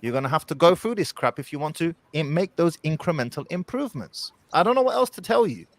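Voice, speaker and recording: A man's voice: a little high, lively intonation, quick pace, dry recording. Blunt man with a dry close sound. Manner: blunt, forceful. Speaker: podcast guest. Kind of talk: motivational podcast talk.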